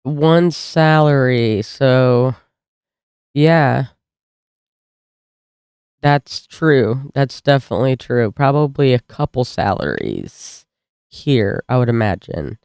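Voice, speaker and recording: A woman's voice: a bass voice, takes it slowly, pauses often, clean tone, dry recording, clean studio sound. An American woman from the United States chatting casually as she reacts to a video. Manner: relaxed, chatty asides. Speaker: reaction channel host.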